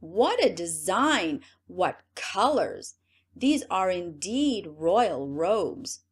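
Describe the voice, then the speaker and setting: A woman voicing flattering male courtiers in a storybook, making slow, exaggerated exclamations. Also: lowish voice, husky, full character voice. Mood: fawning.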